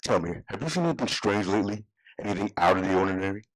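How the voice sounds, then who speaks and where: A man acts out a male police detective with a very low voice. He questions people in a brisk, matter-of-fact, official way, moving quickly through his lines as in a drama read-through. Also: lively intonation, natural voice, poor audio. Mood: businesslike. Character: male plainclothes police officer, calm and businesslike. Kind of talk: scripted table read.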